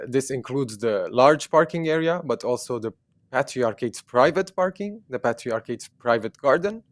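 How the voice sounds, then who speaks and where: A man's voice: medium pitch, no room sound. A man from Jerusalem whose first language is Armenian, speaking earnestly as an interview guest, with many fillers and restarts. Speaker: activist guest.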